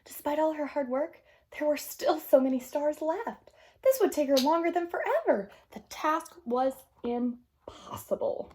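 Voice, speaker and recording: A woman reading a children's picture book aloud in an expressive, gentle, unhurried way, with casual asides to young listeners. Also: high-pitched. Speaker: storybook reader.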